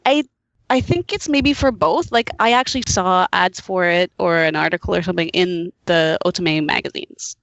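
A woman's voice: lowish voice, normal speed, gravelly, a bit bright, no echo, dull audio. A woman chatting casually on a podcast panel in a tentative, hedging way. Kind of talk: casual roundtable chat.

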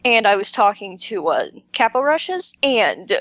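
Animated woman chatting casually. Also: mid-range voice, a little slow, no echo, muffled recording. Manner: informal, self-interrupting explanations with frequent "like". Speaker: podcast roundtable panelist, visual novel maker. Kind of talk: casual chat.

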